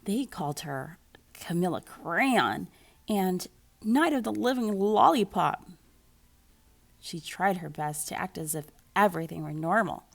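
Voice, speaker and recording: A woman reading a children's storybook aloud in an expressive, deliberate storytelling manner. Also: on the low side, gravelly. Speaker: school librarian reading aloud.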